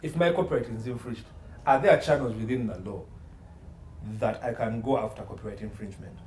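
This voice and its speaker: Male legal pundit, forceful rhetorical delivery in interview, recorded in a reverberant room with slight background noise. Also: mid-range voice, fairly quick. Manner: emphatic, assertive, repeats phrases and asks rhetorical questions. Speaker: guest expert, entertainment law consultant. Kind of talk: opinionated interview commentary. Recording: roomy echo, faint background noise.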